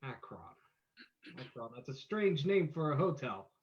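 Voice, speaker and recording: Woman doing a suave detective guy in a radio play, lowish voice, swings around a lot, kinda muffled recording. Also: big pitch swings, measured pace. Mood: curious, suave. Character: composed, inquisitive gentleman sleuth. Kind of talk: old-time radio drama acting. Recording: dull, lo-fi sound.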